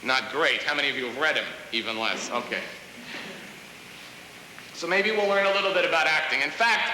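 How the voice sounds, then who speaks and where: A middle-aged man lectures acting students with theatrical emphasis and demands. His voice is high for a man and piercingly forceful. It rings in a reverberant room. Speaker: drama teacher. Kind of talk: lecturing a drama class. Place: large high school classroom, indoors. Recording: hissy tape, echoey reverberant classroom.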